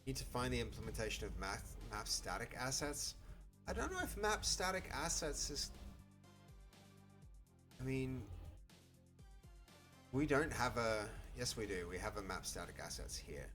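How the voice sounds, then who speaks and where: A man's voice: medium pitch, big pitch swings, a little slow, pauses often, a bit bright, faint music behind. A man with a Dutch accent, a native Dutch speaker, thinking aloud as he codes live on stream, hesitant and full of restarts. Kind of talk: live coding commentary.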